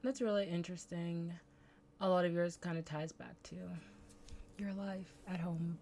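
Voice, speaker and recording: Low-voiced young woman, faint Bahamian lilt. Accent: light Bahamian. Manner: precise, careful diction with chatty fillers. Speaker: podcast co-host. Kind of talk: casual chat.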